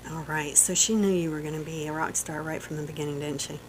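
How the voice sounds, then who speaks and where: A middle-aged woman with a Southern US accent hosts a radio interview from the studio. She talks in a fairly low voice, gushing and encouraging as she chats with her guest. Her tone stays upbeat and easygoing. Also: steady tone, fast talker, slight background noise, rough recording. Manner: gushing, encouraging, chatty with laughs. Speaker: radio host.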